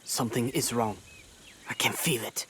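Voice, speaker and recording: A man's voice: mid-range voice, normal speed, fairly bright tone, lightly acted. Man playing a wary, serious warrior ally. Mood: suspicious. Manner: terse, serious. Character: wary, urgent male warrior ally on a mission. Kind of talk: video game cutscene acting. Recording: some ambient background noise.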